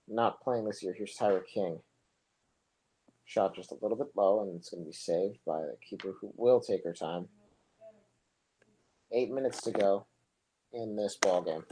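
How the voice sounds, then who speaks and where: A young man giving relaxed, rambling live play-by-play for a soccer match, his voice low and monotone, with chatty asides between plays. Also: poor audio. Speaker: soccer commentator. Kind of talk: casual live play-by-play commentary.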